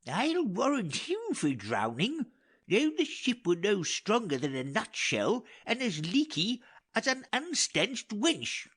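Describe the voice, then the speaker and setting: Man putting on high, wry old courtier. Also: huge pitch swings, a bit bright, slightly rough, full character voice. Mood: wry, mocking. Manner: theatrical, deliberate, sardonic. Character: wry, talkative old male courtier on a sinking ship. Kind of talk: dramatic audiobook reading of Elizabethan verse drama.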